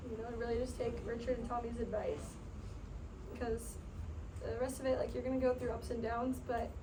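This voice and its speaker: A woman's voice: a little high, little pitch movement, a little fast. A young woman telling a casual story to a group, chatty and full of "like", laughing often. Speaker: sales team member sharing her story. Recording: distant mic, noisy room.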